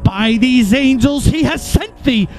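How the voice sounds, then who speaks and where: A man giving a theatrical, dramatic recitation of a poem, often dropping into hushed whispers. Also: very high-pitched, animated, unhurried. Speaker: narrator performing a poem. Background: music.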